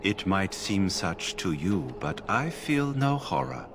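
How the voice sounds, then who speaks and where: A man playing an emotionless mage in a fantasy game, speaking in a formal, detached, measured manner. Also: deep voice, very animated, noisy background, exaggerated voice. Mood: detached calm. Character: emotionless branded male mage, calm enchanter stripped of feeling. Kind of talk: video game dialogue. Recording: game music loud behind the voice.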